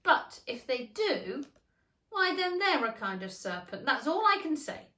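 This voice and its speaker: A woman voicing a flustered, indignant pigeon in a storybook, shrill, scolding and suspicious, complaining in exclamations. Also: very animated, measured pace, pushed and bright, heavily acted. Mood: scornful, accusing. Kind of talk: storybook character reading. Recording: roomy, echoing room.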